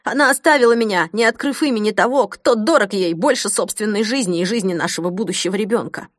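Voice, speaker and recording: A woman's voice: mid-range voice, fast talker, no room sound, clean studio sound, close to an everyday voice. A woman voicing a wounded, jealous young man in an audiobook, earnest and hurt. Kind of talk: audiobook character acting.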